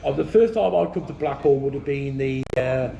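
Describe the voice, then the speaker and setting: Gravelly Midlands man joking with friends. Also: medium pitch, fairly quick, mellow, echoey room, lo-fi sound. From English Midlands. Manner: blunt, teasing, laughs often. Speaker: channel host. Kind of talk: joking banter among friends.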